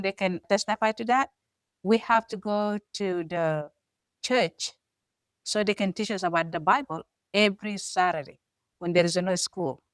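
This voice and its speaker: A woman's voice: mid-range voice, no room sound. A middle-aged woman from South Sudan with a South Sudanese accent, co-hosting and telling her life story in an earnest, emphatic way. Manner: earnest, emphatic, rising to forceful stresses. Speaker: co-host. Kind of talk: personal storytelling.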